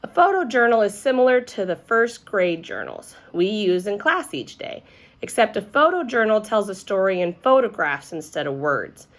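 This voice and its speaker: A woman's voice: medium pitch, very animated, moderate pace, close to an everyday voice. A patient male first-grade teacher from a children's storybook, read by a woman in her mid-range voice. He explains things kindly and encourages his pupils. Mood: encouraging.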